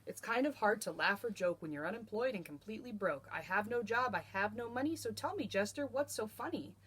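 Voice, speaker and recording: A woman's voice: mid-range voice, lively intonation, brisk, a bit bright, breathy edge. Down-and-out storybook man, played by a woman: bitter, defeated, plaintive and challenging. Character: thin, broke, unemployed man, defeated and bitter.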